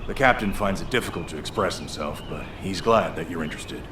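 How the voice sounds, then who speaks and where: A man's voice: low voice, expressive, fairly quick. A man voicing a friendly, eager construction corps veteran in a video game, chatty and earnest, in a roomy, noisy recording. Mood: polite, eager.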